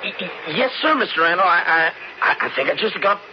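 An actor playing a sly young ranch hand with an American Western accent and folksy cowboy talk, in a radio drama. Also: male voice, high-pitched, lively intonation, a little fast. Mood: nervous, stammering. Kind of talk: 1970s radio drama acting. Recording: noisy, dull old radio broadcast.

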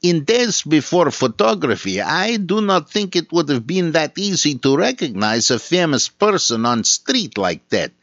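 A man's voice: a little high, big pitch swings, measured pace, few pauses, a bit bright, no room sound, highs cut off, voice pushed up, exaggerated voice. A man putting on a heavy Russian accent to read a listener's letter as a comrade, dropping little words. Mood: mock-earnest. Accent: Russian (put on). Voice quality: heavy mock Russian accent, clipped grammar. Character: gruff male Russian comrade letter-writer.

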